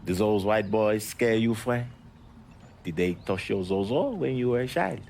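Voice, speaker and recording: A man playing a bitter, defiant Haitian smuggler and former priest in a video game, with a Haitian accent and a mocking, guarded, deliberate delivery. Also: fairly low pitch, animated, gravelly, a little mellow, a little hiss or hum, full character voice. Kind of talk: video game cutscene dialogue.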